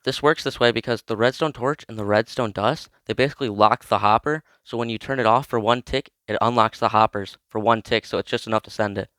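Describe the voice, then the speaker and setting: A man's voice: on the low side, fairly quick, few pauses, fairly bright tone, no room sound. A young man with a cold, casually walking through a step-by-step tutorial in a chatty, informal way. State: has a cold. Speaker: video creator explaining a game build.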